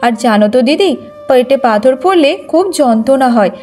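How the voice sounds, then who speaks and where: A woman's voice: high-pitched, animated, normal speed, darkish tone, faint music behind. A woman voicing a gossipy, friendly village housewife in a cartoon, chatty and wheedling. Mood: confiding. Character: gossipy neighbour housewife, friendly, pleading, hurried. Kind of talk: Bengali moral-story cartoon dubbing.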